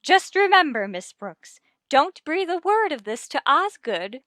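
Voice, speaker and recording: High-voiced, meddling married matron. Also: female voice, very animated, unhurried, a bit bright, no echo, studio quality, full character voice. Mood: scheming, eager. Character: chatty, meddling married matron, eager matchmaker. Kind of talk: vintage radio sitcom acting.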